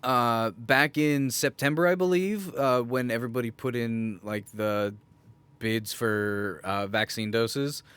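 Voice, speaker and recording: A man's voice: mid-range voice, measured pace, a bit bright, clear, resonant tone, no room sound. A man co-hosting a podcast in a casual, bantering, joking way. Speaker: podcast co-host. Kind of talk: casual chat.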